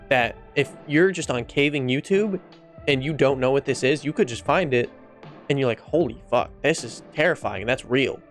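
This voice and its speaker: A man giving casual opinion commentary as a video host, informal and profane, often repeating himself for emphasis. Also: mid-range voice.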